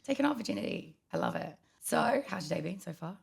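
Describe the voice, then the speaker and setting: A young woman with an Australian accent and a croaky voice, co-hosting a casual podcast with teasing, bawdy banter. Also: medium pitch, animated, fast. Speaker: podcast co-host. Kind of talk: casual chat.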